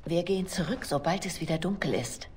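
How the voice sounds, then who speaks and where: A woman acting a low-voiced, secretive female spy. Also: a little fast, darkish tone, a little hiss or hum, natural voice. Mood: guarded, reluctant. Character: smooth, confident, secretive female spy and lover. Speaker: voice actress in a video game. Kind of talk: German fantasy game dubbing.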